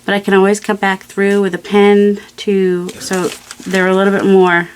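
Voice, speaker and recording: A woman's voice: mid-range voice, monotone, moderate pace, clean tone. A woman hosting a craft video, chatting casually and rambling to herself with playful self-scolding while she works, over clicks and crinkling paper.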